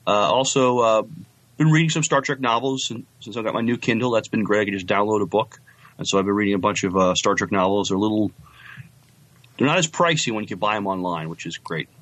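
A man's voice: on the low side, fast talker, a bit bright, dry recording. A man chatting casually about science fiction as a podcast co-host.

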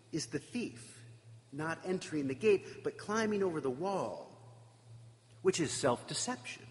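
A man preaching a reflective sermon, emphatic at times and pausing for effect. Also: fairly high pitch, long reverb tail. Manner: reflective, emphatic with dramatic pauses.

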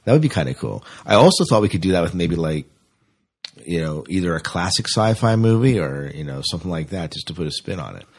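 A man's voice: low voice, big pitch swings, quick pace, breathy edge. A man co-hosting a sci-fi fan podcast, chatting casually and wandering into asides. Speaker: podcast co-host.

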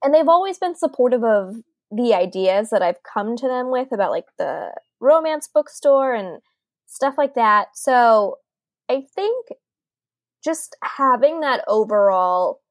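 A woman's voice: fairly high pitch, expressive, slow pace, no room sound. Chatty woman in her late twenties, dry close sound. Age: late 20s. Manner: chatty, laughs often, lots of "like" fillers. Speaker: podcast co-host. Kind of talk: casual podcast chat.

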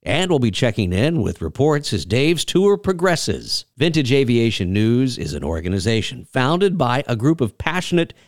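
A man's voice: mid-range voice, big pitch swings, normal speed, hardly any pauses, no echo, studio quality. A man reading scripted promotional narration as a sponsor announcer.

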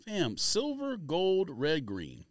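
A man's voice: on the high side, huge pitch swings, takes it slowly, dry recording, studio quality. A man co-hosting a podcast in casual banter, speaking in a slangy, teasing, incredulous way. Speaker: podcast co-host.

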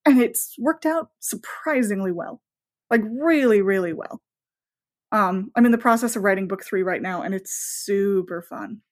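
A woman novelist talking casually as an interview guest, enthusiastic and rambling, often voicing her own thoughts as asides. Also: mid-range voice.

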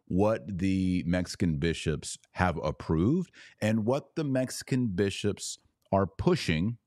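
A man's voice: deep voice, a little mellow, no room sound, studio quality. A man hosting a solo podcast, delivering opinionated commentary in a sardonic, emphatic way. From Texas. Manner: sardonic, emphatic, shifts pace often. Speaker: podcast host.

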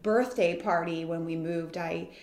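A woman's voice: lowish voice, fairly even intonation, measured pace, a little mellow. A woman from the United States, a guest in an interview, telling her story in an earnest, flowing way. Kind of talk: reflective interview talk. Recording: roomy echo.

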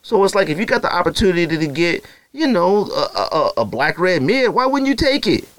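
A man's voice: sits high, very animated, brisk, a bit bright, no room sound. A married man hosting his own podcast alone, talking casually and rambling, with many fillers, his voice jumping up when he makes a point. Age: adult, married. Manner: rambling and conversational, many "you know" fillers, voice jumps up when making a point. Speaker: podcast host. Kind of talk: casual solo podcast talk.

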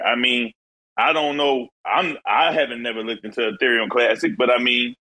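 A man's voice: mid-range voice, pushed and bright, poor audio. A man in a casual crypto discussion, talking in a loose, slangy, conversational way.

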